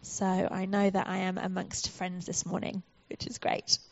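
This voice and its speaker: A woman's voice: on the low side, monotone, moderate pace, creaky, lo-fi sound. A woman from the United Kingdom with a British accent, a charity guest speaker giving a friendly, earnest church talk with frequent ums.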